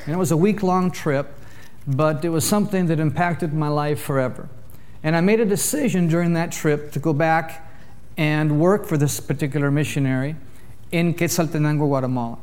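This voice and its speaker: A man preaching to a church congregation, telling jokey stories in a lively way. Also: fairly high pitch, moderate pace. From Canada. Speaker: visiting preacher. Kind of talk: sermon with humorous storytelling.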